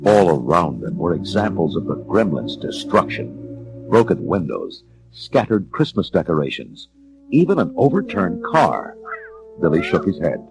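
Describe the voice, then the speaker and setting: Storybook narrator, male: very low gravelly register, animated and theatrical, music underneath. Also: measured pace, rough recording. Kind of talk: dramatic children's storybook narration.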